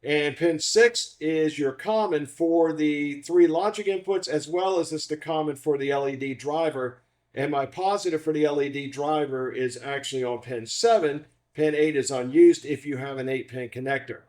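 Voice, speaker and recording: A man's voice: a little high, normal speed, darkish tone. A man giving an instructional product walkthrough in a methodical, explanatory manner, as a company demonstrator.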